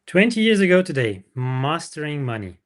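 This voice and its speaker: A man's voice: fairly high pitch, big pitch swings, normal speed. A man reading a book aloud as a livestream host, with informal asides to listeners.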